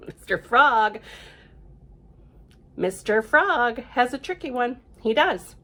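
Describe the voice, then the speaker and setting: A woman's voice: fairly high pitch, lively intonation, drawn-out words, bright, forceful tone. A woman reading a picture book aloud at storytime, adding chatty asides and asking her young listeners questions. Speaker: library storytime reader.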